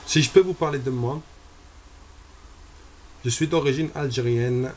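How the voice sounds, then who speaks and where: Homme, 20s, from Algeria, unhurried testimony, hesitant, measured. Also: male voice, medium pitch, slight background noise, poor audio. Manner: slow and deliberate, with frequent hesitations. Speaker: convert giving a testimony. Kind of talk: personal religious testimony.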